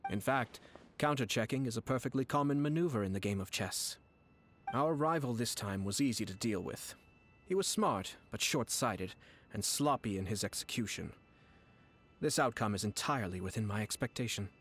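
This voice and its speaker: A man voicing a young noble commissioner who speaks in a measured, courteous, formal way. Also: mid-range voice, animated, fairly bright tone, slight background noise, close to an everyday voice. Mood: explaining. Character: young male noble commissioner, calm and cunning strategist. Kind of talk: video game voice acting.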